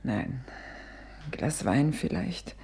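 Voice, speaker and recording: A woman's voice: deep voice, expressive, slow, dark tone, full character voice. An actress in a German radio play as an exhausted, anxious mother, speaking tersely and distractedly. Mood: curt, drained. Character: anxious, exhausted mother waiting for her missing child. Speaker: radio drama actor.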